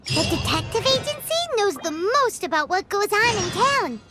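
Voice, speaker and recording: Squeaky, excitable childlike fairy companion. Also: female voice, normal speed, loud music behind the voice. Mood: cheerful, curious. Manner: exclamatory, dramatic. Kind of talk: video game voice acting.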